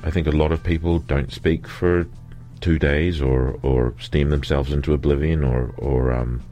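A man's voice: very deep voice, steady tone, moderate pace, dark tone, soft background music. A man who is a singer, talking reflectively in an interview and laughing easily. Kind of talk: conversational interview.

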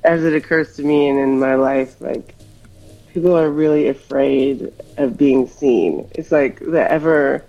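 A woman's voice: extremely low pitch, unhurried, creaky, dark tone, lo-fi sound, soft background music. A woman from Hawaii, a musician guest in a casual interview, talking reflectively and rambling with fillers.

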